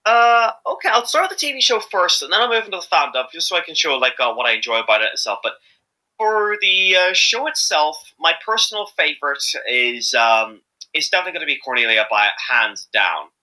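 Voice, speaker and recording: A man's voice: on the high side, big pitch swings, fairly quick, bright, forceful tone, poor audio. A man chatting casually as an interviewed amateur voice actor, rambling and hesitant, often correcting himself as he goes.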